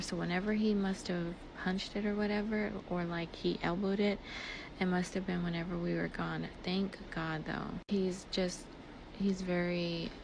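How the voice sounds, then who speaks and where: A woman recounts personal events in a loose, chatty way, voicing bits of dialogue as she goes. Her voice sits a little low, with plenty of vocal fry and a fairly even melody. The amateur recording has clear ambient noise behind her. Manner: filler-heavy, conversational, quotes dialogue as she retells. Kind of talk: casual personal storytelling, like a voice message. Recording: noisy ambient background, amateur voice clip.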